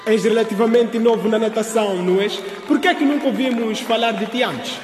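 Man doing hyped sports announcer for a radio drama, really high voice, big echo, crowd behind. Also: fairly quick, lightly acted. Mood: upbeat, curious. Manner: projected, excitable. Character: excitable male sports announcer at school gala. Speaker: commentator. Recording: echoey venue, crowd and music behind.

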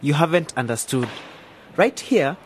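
A man's voice: on the high side, expressive, a little slow, a little hiss or hum. An actor playing a cheeky, sceptical young man in a radio drama, teasing and mock-dramatic. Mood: explaining.

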